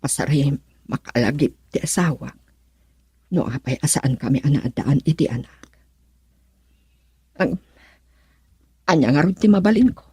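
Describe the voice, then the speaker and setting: Woman as weary middle-aged mother, Filipino accent, heavily husky tone, radio drama acting. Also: low voice, lively intonation, unhurried, long pauses, warm tone, no echo, full character voice. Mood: troubled, brooding.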